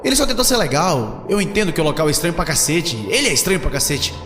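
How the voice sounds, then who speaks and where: A friendly young Brazilian man acted by a male performer plays the peacemaker among travelers in a horror audio drama. His voice sits fairly high and moves around a lot, colloquial and expressive, in an echoey space with music underneath. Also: fast, lightly acted. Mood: casual, chiding.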